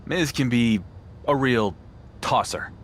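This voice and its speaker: An actor playing a determined underdog wrestling champion in a video game, blunt and sardonic. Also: male voice, mid-range voice, takes it slowly, a bit bright, slight background noise. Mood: reflective, dry. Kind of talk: video game cutscene acting.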